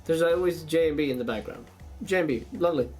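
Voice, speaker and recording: Higher-pitched man hosting a rambling web show. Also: fast talker, a little mellow, soft background music. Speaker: YouTube show host. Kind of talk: casual monologue to camera.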